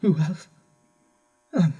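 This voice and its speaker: An actor playing a distraught young husband under interrogation in an audio horror drama, halting, stammering and pleading. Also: male voice, dark tone, muffled recording. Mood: guarded, evasive.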